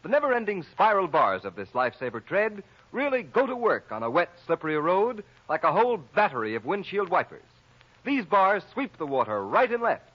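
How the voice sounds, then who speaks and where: A man's voice: high voice, sing-song, fairly quick. A man announcing a commercial in an emphatic, declamatory 1930s radio style. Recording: muffled 1930s radio recording.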